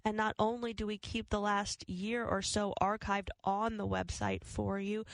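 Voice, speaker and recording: A woman hosts a personal finance talk show with a noticeably creaky voice at an average pitch. She explains things in a conversational way, recorded dry with hardly any room sound. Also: dry recording, poor audio. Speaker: host of a financial advice show.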